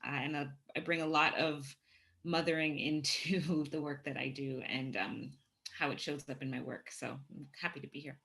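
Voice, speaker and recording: A woman introducing herself on an online panel in an unhurried, reflective way, over a video call. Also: sits low, fairly even intonation, vocal fry, fairly bright tone. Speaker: panelist, movement director.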